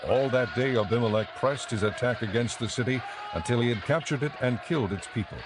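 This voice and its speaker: A man narrating a dramatized audio Bible in a measured, clearly enunciated storytelling style. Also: mid-range voice, noisy background.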